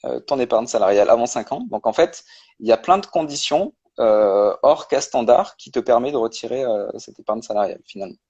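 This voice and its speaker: A man's voice: medium pitch, animated, fairly quick, darkish tone, husky, highs cut off. A man, a guest on a live-stream interview, explaining step by step with many hesitations. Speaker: guest, personal finance creator.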